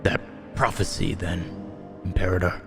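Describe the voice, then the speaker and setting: A man's voice: low-pitched, level tone, normal speed, creaky, big-room sound, over music, pitched below the performer's own voice. A man voicing a solemn, devoted follower of an emperor in a game, speaking in a grave, formal, ceremonial way. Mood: resolute.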